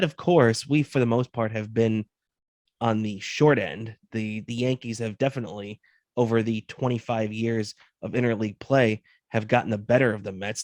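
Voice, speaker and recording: A man's voice: mid-range voice, no echo. A man in his thirties co-hosting a sports podcast, talking casually and laying out his opinions. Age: 30s.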